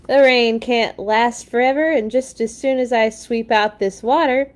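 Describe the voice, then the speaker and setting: A woman's voice: a little high, steady tone, measured pace, close to an everyday voice. Lady doing a happy optimistic papa bear for a kids book, reassuring. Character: cheerful, optimistic father bear. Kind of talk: storybook read-aloud character.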